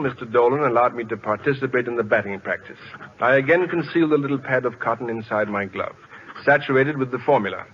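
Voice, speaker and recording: A man's voice: mid-range voice, lightly acted. Man acting a mild, bookish man, muffled radio. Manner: polite, precise, formal, naive. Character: mild, bookish male scientist posing as rookie pitcher. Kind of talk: 1940s-50s radio comedy-drama acting. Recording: muffled, hissy old radio recording.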